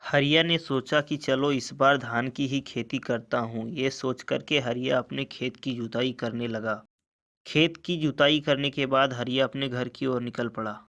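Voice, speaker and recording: Guy narrating a kids moral story, normal mid pitch, scripted read. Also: steady tone, unhurried, fairly bright tone.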